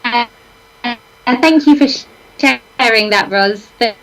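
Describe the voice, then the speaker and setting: A woman's voice: a little high, slow, fairly bright tone, poor audio. A woman from an arts organisation answering a caller on a live show, thoughtful and speaking with conviction.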